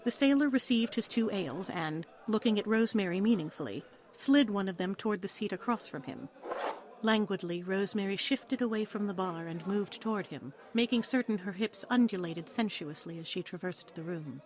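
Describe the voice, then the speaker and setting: Female narrator for a dramatised audiobook, mid-pitched, scripted storytelling delivery. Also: moderate pace, a little mellow. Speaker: narrator, author reading.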